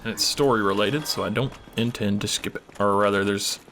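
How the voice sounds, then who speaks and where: A man's voice: lowish voice, fairly quick, fairly bright tone, a little hiss or hum. A man casually commenting while he plays a video game, rambling and swearing, losing his voice and coughing. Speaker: gaming channel host. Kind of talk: casual let's play commentary.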